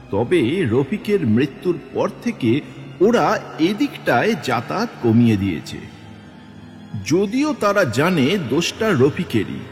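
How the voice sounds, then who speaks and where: A man narrating a horror story as a dramatic scripted audio tale. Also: sits high. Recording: long hall reverb with music underneath.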